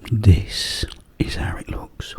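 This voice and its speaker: A British man whispering a casual, rambling ASMR story up close to the microphone, with pauses. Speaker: ASMR video creator.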